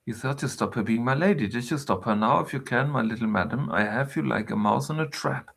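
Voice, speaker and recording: A man's voice: mid-range voice, a little fast, gravelly, fairly bright tone. A man voicing a gloating old male clockmaker villain who mutters and chuckles with menace.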